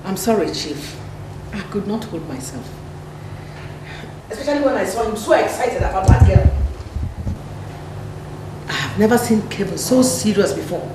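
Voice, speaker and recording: A woman's voice: medium pitch, expressive, normal speed, long pauses, natural voice. An actress with a Nigerian accent playing a bold, knowing house maid talking to her chief in a film drama. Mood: apologetic. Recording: echoey room, distant, background noise.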